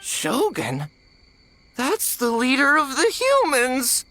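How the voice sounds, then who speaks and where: Timid, slow, high-voiced little tanuki. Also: female voice, huge pitch swings, fairly bright tone, faint music behind, exaggerated voice. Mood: nervous. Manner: stuttering, hesitant, panicky. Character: timid, fearful little tanuki yokai, childlike. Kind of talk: animated game cutscene acting.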